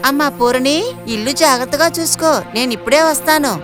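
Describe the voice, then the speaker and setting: Woman acting a concerned mother, high voice. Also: fairly quick, over music, natural voice. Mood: earnest. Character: concerned, hardworking poor mother. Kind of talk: animated moral story dialogue.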